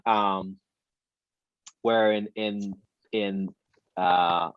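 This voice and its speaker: A man with a very low voice talks eagerly in a casual group discussion, stumbling through fillers and restarts. The recording is muffled and lo-fi, with a close, dry sound. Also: very slow, fairly bright tone, smooth, dry recording. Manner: hesitant, many fillers, enthusiastic. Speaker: reading group participant.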